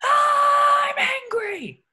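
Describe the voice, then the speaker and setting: Woman doing an earnest excitable cartoon sponge boy, bright voice, drags words out. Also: on the high side, a little slow, heavily acted. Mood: fired up. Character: eager, excitable young male cartoon sea sponge. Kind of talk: cartoon script voice acting.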